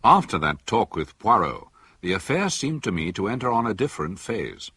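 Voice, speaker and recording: A reflective doctor narrating a radio mystery. His lowish voice is husky and close in a dry space, with very animated intonation. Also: moderate pace, no room sound, full character voice. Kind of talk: scripted first-person narration in radio drama.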